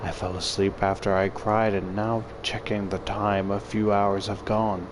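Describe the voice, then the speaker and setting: A man's voice: lowish voice, flat delivery, unhurried, background noise. A man narrating a first-person story dramatically and expressively, as if reading a visual novel aloud. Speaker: visual novel reader, YouTuber. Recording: loud background music. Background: loud music.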